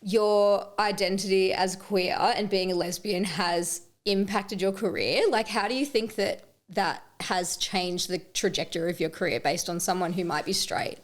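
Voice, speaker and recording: A woman's voice: mid-range voice, fairly even intonation, moderate pace, hardly any pauses. A woman hosting a podcast, upbeat and encouraging as she interviews her guest. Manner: upbeat, encouraging, laughs along. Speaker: podcast host. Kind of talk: casual interview chat.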